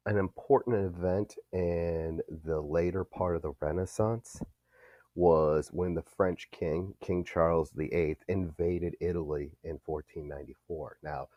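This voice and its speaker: Male history teacher, very low voice, dry sound, explains steadily. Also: fairly even intonation, measured pace, mellow, dry recording, lo-fi sound. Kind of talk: recorded classroom lecture.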